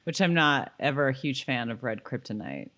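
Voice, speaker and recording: A woman's voice: rumbling low, animated, moderate pace, fairly bright tone. A woman co-hosting a podcast, chatting casually about a TV episode in a giggly, conversational way. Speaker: podcast co-host. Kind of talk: casual chat recapping a TV episode.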